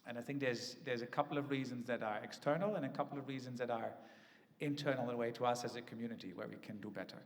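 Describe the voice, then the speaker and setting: A man's voice: medium pitch, fast talker. A man on a conference panel, speaking thoughtfully with many "ums" and tag questions like "right?" and "no?". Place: large conference hall. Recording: echoey conference hall.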